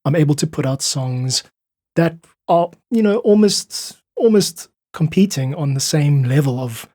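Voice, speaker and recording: Male podcast guest, husky mid-range voice, articulate explainer, dry sound. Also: a little high, animated, unhurried, mellow, no room sound. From South Africa. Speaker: podcast guest, independent musician. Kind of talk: thoughtful interview talk.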